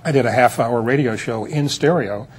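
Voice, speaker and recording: A man's voice: mid-range voice, normal speed, a little hiss or hum. An older man being interviewed as a producer, reminiscing in a rambling, anecdotal way. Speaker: interview guest, film producer.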